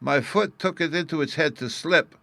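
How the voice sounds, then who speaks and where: A man's voice: on the high side, normal speed, vocal fry, bright, forceful tone, no room sound, pitched above the performer's own voice. A man reading aloud, voicing a small, stubborn, childish fairy boy in a storybook. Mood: plaintive.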